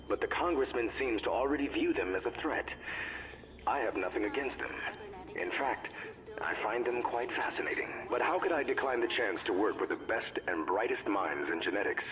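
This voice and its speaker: An actor playing a mild, idealistic male scientist dictating a lab log for a video game in a measured, thoughtful, formal way. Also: on the low side, expressive, noisy background, close to an everyday voice. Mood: reflective, mildly amused.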